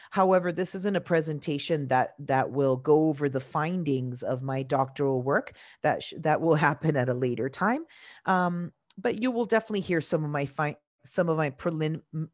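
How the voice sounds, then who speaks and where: Woman in her forties, first language Italian, from Venezuela. Teacher presenting at a conference. Deep for a woman, earnest, measured, dry close sound. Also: no echo. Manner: earnest and measured, pausing often to find words.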